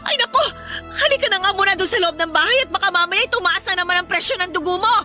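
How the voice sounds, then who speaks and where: A woman's voice: very high-pitched, fast, pushed and bright, faint music behind, exaggerated voice. A woman playing an exasperated older Filipino mother, nagging and reproachful in a melodrama. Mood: alarmed, bossy.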